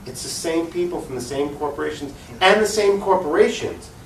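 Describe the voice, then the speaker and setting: A man's voice: on the high side, brisk. Echoey, distant American man explaining earnestly. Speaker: political activist being interviewed. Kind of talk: explanatory interview answers. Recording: roomy, distant mic, faint noise.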